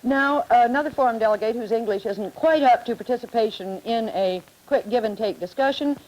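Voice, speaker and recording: Mellow-voiced 1950s TV moderator woman. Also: mid-range voice, poor audio. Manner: brisk, teasing questions to guests. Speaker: moderator of a televised student forum.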